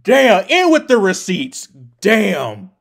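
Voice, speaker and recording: Slow-paced, high-voiced young man. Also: wildly swooping pitch, vocal fry. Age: young adult. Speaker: anime reaction host. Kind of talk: casual reaction commentary. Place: small room at home, indoors.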